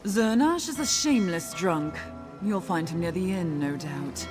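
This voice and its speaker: An actress playing a snobbish, haughty woman who organizes an elite event in a video game, speaking in a sarcastic, condescending, brisk way. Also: on the low side, lively intonation, soft background music.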